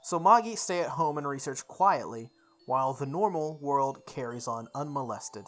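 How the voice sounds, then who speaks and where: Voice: mid-range voice, expressive, normal speed, a bit bright. Game narrator reading over music. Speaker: let's play host reading a visual novel aloud. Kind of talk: read-aloud narration of a game's story. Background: background music.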